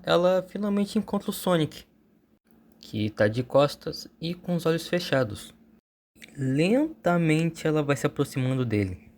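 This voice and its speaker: A man's voice: medium pitch, darkish tone. A man with a Brazilian accent telling a story as a channel host, in an informal scripted narration.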